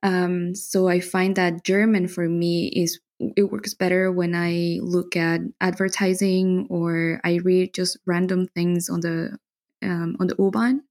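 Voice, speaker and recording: A woman from Bolivia, a podcast guest, talking in a relaxed, chatty way. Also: lowish voice, unhurried. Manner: relaxed, chatty, with frequent fillers and soft laughs. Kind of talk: casual interview chat.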